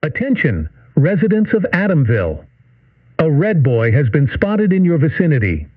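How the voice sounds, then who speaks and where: A man's voice: a little high, normal speed. A man voicing a cold emergency broadcast announcer. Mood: ominous. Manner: flat formal announcements. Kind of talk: played clip from an analog horror series. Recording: tinny lo-fi broadcast with music.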